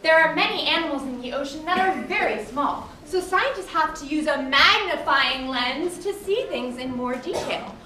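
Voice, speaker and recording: An encouraging guide played by a woman explains things to children in a high, lively voice. She sounds distant in an echoey hall with a crowd. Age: young adult. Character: encouraging, knowledgeable teacher-guide to the ocean. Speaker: puppet show guide. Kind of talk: scripted children's educational show.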